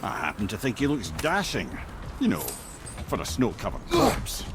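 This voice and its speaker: An actor playing a witty, talkative old advisor in a video game, telling tales with wry, theatrical relish. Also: male voice, medium pitch, huge pitch swings, moderate pace, heavy background noise. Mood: droll. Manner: wry, loquacious, theatrical storytelling. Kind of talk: video game cutscene acting.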